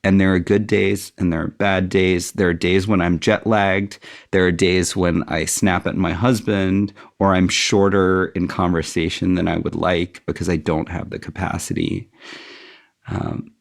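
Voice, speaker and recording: A man's voice: sits low, little pitch movement, a little slow, vocal fry. A middle-aged man speaking as an interview guest, thoughtful and candid with a self-deprecating touch. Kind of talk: reflective interview conversation.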